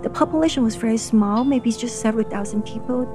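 A woman's voice: a little high, quick pace, over music. Woman from Hong Kong explaining history. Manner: casual, explanatory. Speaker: political scientist. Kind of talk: interview answers.

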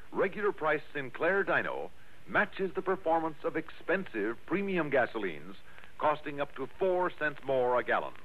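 American male announcer, 1960s radio, highish lively delivery, tinny broadcast.

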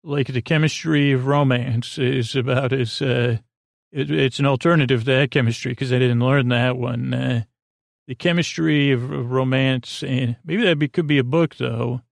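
A middle-aged man with a creaky voice hosting a bedtime podcast, rambling in a silly, halting way and drifting off mid-thought. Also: mid-range voice, steady tone, no echo, clean studio sound. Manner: meandering, halting, repeats and trails off mid-thought; silly, whimsical. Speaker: podcast host.

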